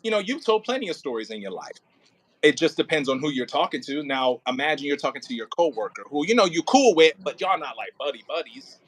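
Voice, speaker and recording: A man coaching an actor casually as an audio play director, thinking aloud in halting, repetitive phrases and sounding encouraging. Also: fairly high pitch, very animated, fairly bright tone, low-quality recording.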